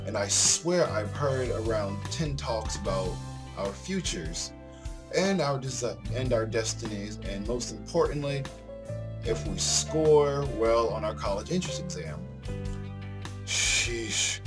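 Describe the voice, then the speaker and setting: A man giving casual let's play commentary and reading story text aloud, often halting and repeating phrases as he comments. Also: mid-range voice, lively intonation. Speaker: gaming video host. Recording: music behind the voice. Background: game music.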